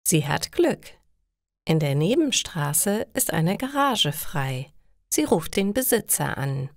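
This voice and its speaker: A woman reading simple stories for language learners as a narrator, in a slow, careful storytelling style. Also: deep voice, sing-song, dry recording, clean studio sound.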